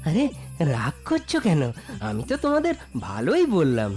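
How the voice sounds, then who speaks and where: A woman's voice: sits low, huge pitch swings, normal speed, darkish tone, soft background music, heavily acted. A woman voicing a bold, scheming village husband in a children's cartoon, theatrical and coaxing. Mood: coaxing, confident. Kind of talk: animated cartoon dialogue for children.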